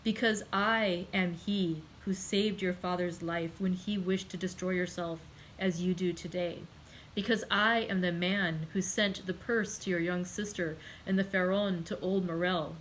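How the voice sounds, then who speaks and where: A woman voicing a calm, commanding nobleman who speaks slowly, quietly and with measured firmness in an audiobook. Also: lowish voice, a little hiss or hum, lightly acted, rough recording. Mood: firm. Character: calm, commanding older nobleman, gently stern. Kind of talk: audiobook character reading.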